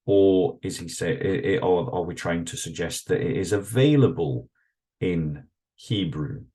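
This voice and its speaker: A man's voice: deep voice, a little slow, dark tone. A man reading passages aloud and commenting on them in a thoughtful, hesitant way, with frequent fillers and restarts. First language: English. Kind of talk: reflective solo commentary with read-aloud passages.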